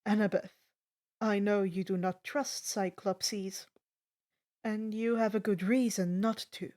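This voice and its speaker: A woman voicing a gentle old male centaur mentor who talks in a calm, formal, measured way. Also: mid-range voice, voice pushed down, close to an everyday voice. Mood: calm, reassuring.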